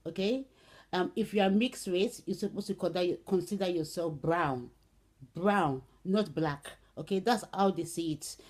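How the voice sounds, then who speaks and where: A middle-aged woman from Brazil, speaking casually to camera with many "um"s and "okay"s, in an unhurried way. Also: medium pitch, a little mellow. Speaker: vlogger. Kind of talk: casual spoken commentary to camera.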